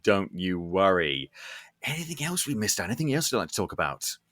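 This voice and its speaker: A man's voice: sits low, very animated, brisk, a bit bright, no echo. Enthusiastic man hosting, dry close sound. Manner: enthusiastic, sweary, emphatic. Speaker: podcast host. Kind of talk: casual chatty interview.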